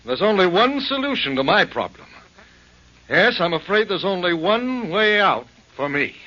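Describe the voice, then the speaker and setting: A man's voice: high-pitched, very animated, normal speed, noisy background. An actor playing a desperate, ruined businessman who pleads for time in a 1940s radio drama. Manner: pleading, strained. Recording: dull lo-fi 1940s radio broadcast.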